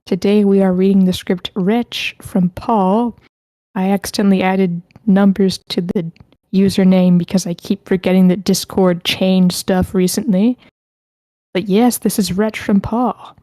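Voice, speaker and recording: Woman, casual online table-read host, mellow tone, very dry close recording. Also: mid-range voice, fairly even intonation, a little slow, dry recording, low-quality recording. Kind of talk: casual livestream hosting. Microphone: close mic with a sock over it, online voice call.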